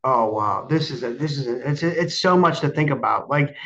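A man hosting a casual interview, speaking haltingly and repeating words as he searches for how to put things. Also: medium pitch, a bit bright, dull audio. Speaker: podcast host interviewing a guest.

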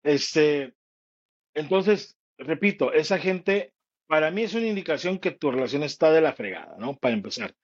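A man's voice: on the high side, expressive, normal speed, dull audio. A man co-hosting a casual chat, teasing and joking through the banter. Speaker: podcast co-host.

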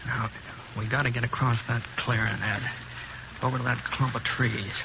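Guy playing laconic cowboy gunslinger in an old radio western, understated and steady, dull noisy recording. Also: mid-range voice, moderate pace, lightly acted. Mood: tense, watchful. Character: steady, laconic Wild West gunslinger drifter, a man. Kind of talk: 1950s radio western acting and narration.

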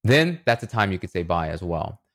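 A man's voice: sits low, big pitch swings, normal speed, a bit bright, dry recording, clean studio sound. A man speaking as an interview guest, explaining ideas in a reflective way and checking in with the listener as he goes. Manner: reflective, uses analogies and rhetorical check-ins. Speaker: podcast guest, writer and illustrator.

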